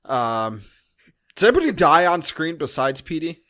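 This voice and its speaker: A man's voice: on the high side, sing-song, moderate pace, bright, forceful tone. A man hosting a podcast, recapping a movie in casual chat with dry, sarcastic asides. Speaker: podcast host.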